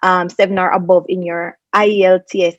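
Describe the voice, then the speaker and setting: A woman's voice: fairly low pitch, little pitch movement, fairly quick, dry recording. A middle-aged woman explains things methodically, step by step, as a panel guest giving advice on a video call. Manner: methodical, step-by-step, emphatic enumerating. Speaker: immigration consultant, panel guest. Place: small indoor room on a video call. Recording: slightly dull video-call audio.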